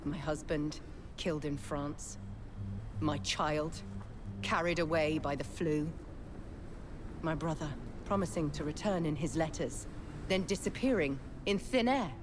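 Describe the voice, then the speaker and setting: A woman with an English accent playing a vengeful, grieving undead vampire sister in a video game, mocking and unhinged, in a theatrical, drawn-out manner. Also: sits low, background noise. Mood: grieving, bitter. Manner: taunting, dramatic, drawn-out.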